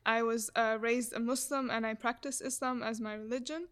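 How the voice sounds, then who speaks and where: A woman's voice: a little high, fairly even intonation, moderate pace, a bit bright, smooth. A young woman from Egypt, a student guest on a podcast, speaking in an earnest, articulate way. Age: young adult. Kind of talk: podcast conversation.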